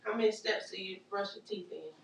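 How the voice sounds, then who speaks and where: Woman trainer, loud and animated, teaching caregivers; roomy distant sound. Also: medium pitch, brisk, creaky. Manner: animated, joking, acts out scenes, Southern-style casual grammar. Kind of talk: lively workshop teaching. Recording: echoey room from far off, faint noise.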